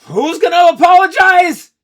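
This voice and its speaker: A man voicing a brash, hotheaded teenage boy student who yells in a very high voice. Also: sing-song, slow, heavily acted. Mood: angry, shouting. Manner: hotheaded, yelling.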